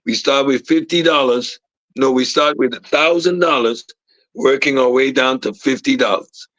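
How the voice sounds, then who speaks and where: A man's voice: medium pitch, normal speed, fairly bright tone, no room sound, highs cut off. A man talking casually and emphatically, restarting often and checking in with "right?". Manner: emphatic, many restarts, keeps asking "right?". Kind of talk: casual discussion.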